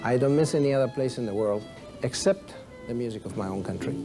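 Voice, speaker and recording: A man's voice: mid-range voice, fast talker. A man from Argentina, an orchestra violinist speaking earnestly and measuredly in a documentary interview. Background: music.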